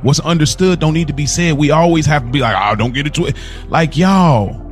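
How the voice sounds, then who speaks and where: A young man co-hosting a podcast in casual chat, telling stories in an animated way and laughing often. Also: on the high side, a little fast, faint music behind. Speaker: podcast co-host.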